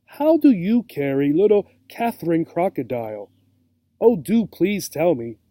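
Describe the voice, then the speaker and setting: Storybook mother kangaroo, played by a man: anxious, gentle, pleading, slightly high, soft-toned. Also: big pitch swings, unhurried, dry recording.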